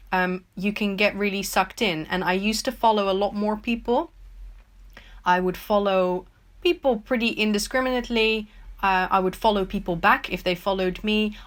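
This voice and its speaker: A woman's voice: mid-range voice, a bit bright. A young Dutch-born woman with a mix of American and British English accents, answering viewers' questions in a casual, rambling, reflective way from her home art studio. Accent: mixed American and British English. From Netherlands. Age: young adult. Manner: reflective, rambling, thinks aloud with many ums. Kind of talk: casual solo Q&A.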